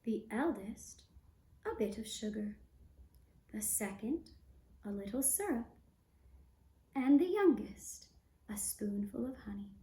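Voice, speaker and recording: A woman narrating a scripted fairy tale for a puppet show, measured, with long breaks between phrases. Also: mid-range voice, expressive, warm tone, echoey room.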